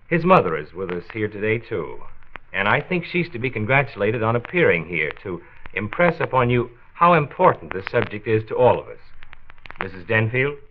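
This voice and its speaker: An actor playing an authoritative county doctor who hosts and narrates a 1940s radio drama, formal and deliberate on an old recording. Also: male voice, fairly low pitch, phone-quality sound, slight background noise.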